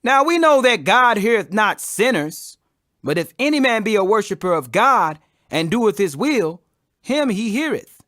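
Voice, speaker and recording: A man's voice: high-pitched, very animated, measured pace, pushed and bright, no room sound, clean studio sound, voice pushed up, full character voice. A man voicing a plainspoken, defiant beggar healed of blindness, emphatic and declamatory, in a scripture reading.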